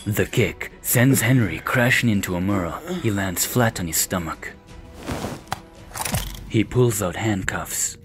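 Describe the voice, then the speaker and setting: A man's voice: on the low side, measured pace, over loud music. A man narrating an audio drama with scripted scene descriptions.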